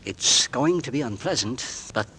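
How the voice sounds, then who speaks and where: An actor playing an anxious, suspicious husband in a 1940s radio drama, melodramatic and fraught, swinging between hushed and frantic. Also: male voice, mid-range voice, lively intonation, unhurried, a little hiss or hum, low-quality recording. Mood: grave, strained. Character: anxious suspicious husband, an Englishman, secretly dreading his poisoner wife. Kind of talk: 1940s radio drama acting, with first-person narration. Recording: vintage 1940s radio recording with music.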